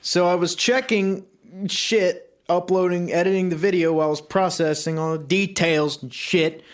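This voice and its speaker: A man's voice: high voice, steady tone, normal speed. Joking, foul-mouthed young man. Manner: jokey, swears a lot, bursts into loud outbursts. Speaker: podcast co-host. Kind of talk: casual comedic chat.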